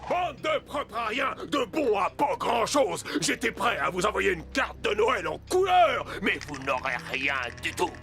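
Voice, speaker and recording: An actor voicing a tyrannical mad doctor in a cartoon, theatrical, scornful and barking. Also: male voice, high voice, sing-song, measured pace, creaky, hardly any pauses, full character voice. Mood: furious, scornful. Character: bombastic, tyrannical male villain, mad robot-building doctor. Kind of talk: cartoon dub acting.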